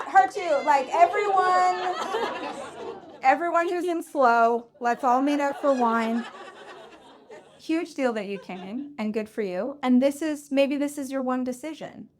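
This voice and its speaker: A woman hosting a live audience Q&A, talking frankly and warmly encouraging, laughing easily. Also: high voice, measured pace, some chatter behind. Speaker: podcast host answering audience questions. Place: live event venue.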